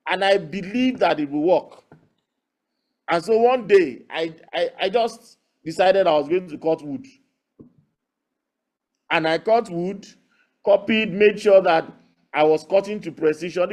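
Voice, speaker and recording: A man with a Nigerian accent telling his story in an interview as a loudspeaker builder, emphatic and punctuating his points with rhetorical questions. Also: a little high. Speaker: loudspeaker builder and audio engineer, guest.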